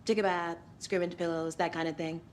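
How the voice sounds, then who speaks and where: A woman's voice: lowish voice, flat delivery, fast talker, a little hiss or hum, lightly acted. An actress playing a wry, frazzled female tourist. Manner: wry, sarcastic.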